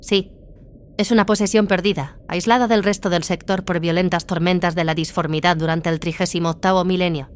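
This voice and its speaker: A woman's voice: lowish voice, steady tone, brisk, lightly acted. A woman playing a devout, vigilant warrior nun in a sci-fi audio drama, terse and serious. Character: devout, vigilant battle sister, female warrior.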